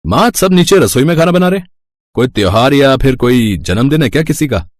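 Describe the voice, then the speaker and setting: A man's voice: mid-range voice, expressive, brisk, no room sound, close to an everyday voice. Man as everyday husband, dry sound. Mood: curious. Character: grown sons, ordinary family husbands. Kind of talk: Hindi moral-story cartoon voice acting.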